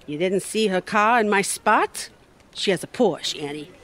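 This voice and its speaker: Catty, animated office gossip, a woman acting. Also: medium pitch, a little slow. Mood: miffed, catty. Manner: arch, gossipy, droll. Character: catty, saucy, envious female office colleague. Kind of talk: audio theatre acting.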